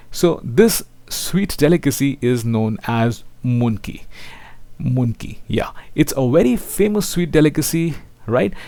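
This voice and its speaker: A man delivers an online maths lecture in a mid-pitched voice with a dry, close sound. He speaks straight to his students as a teacher would, often tagging his sentences with small checks for agreement. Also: darkish tone, no echo. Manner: seeks agreement with frequent tag questions; addresses listeners as children.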